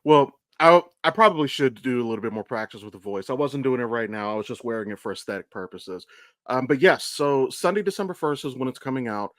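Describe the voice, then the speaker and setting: A man's voice: mid-range voice, animated, fast, a bit bright, no room sound, clean studio sound. A man co-hosting a podcast in casual chat, with a blunt, enthusiastic, sweary way of talking. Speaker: podcast co-host and amateur voice actor.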